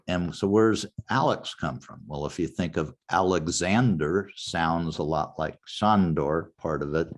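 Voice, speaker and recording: An older man teaching a genealogy class in a relaxed, anecdotal way, walking the class through a website step by step. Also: low voice, unhurried, few pauses. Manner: anecdotal, conversational, walks through website steps. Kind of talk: informal lecture with screen demo.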